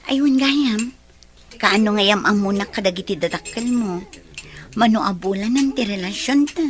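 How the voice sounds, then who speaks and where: A woman playing a gentle, humble young girlfriend with an Ilocano accent in a radio drama. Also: mid-range voice, moderate pace, natural voice. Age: young adult. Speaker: girlfriend character.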